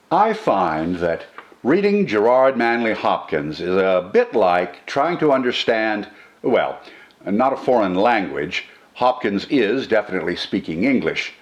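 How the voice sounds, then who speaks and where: An older man with a low-pitched voice narrates like a literature teacher. His delivery is measured and articulate, and his intonation rises and falls widely as he explains. The style is scripted and instructive. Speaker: poetry reader and commentator, host.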